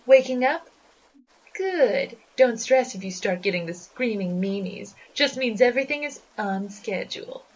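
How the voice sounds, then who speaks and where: A woman's voice: fairly high pitch, expressive, measured pace, lo-fi sound, slight background noise. A woman playing a menacing, gloating spider monster in flapper guise, theatrical and taunting, in a 1920s gangster-style audio drama. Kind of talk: 1920s gangster slang radio drama acting.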